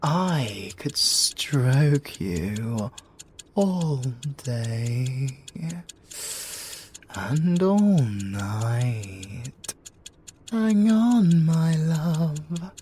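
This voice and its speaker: A British man voicing a possessive, doting siren lover in an intimate ASMR roleplay, breathy and seductive with lingering pauses. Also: fairly high pitch, very animated, a little mellow, a little hiss or hum, exaggerated voice. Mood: doting, teasing. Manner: slow, breathy, seductive crooning with drawn-out pauses.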